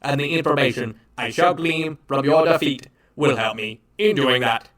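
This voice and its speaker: A man's voice: on the high side, normal speed, fairly bright tone, natural voice. Man voicing a cold, pompous villain android. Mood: menacing. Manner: pompous, formal, menacing. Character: cold, arrogant male villain android serving evil master. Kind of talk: superhero radio drama acting.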